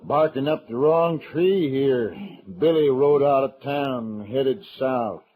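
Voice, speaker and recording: A man's voice: mid-range voice, slow pace. An actor playing a powerful, stubborn older rancher in an old-time western radio drama, folksy and bullying as he cajoles and threatens. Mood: cajoling. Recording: muffled, narrow-band old radio recording.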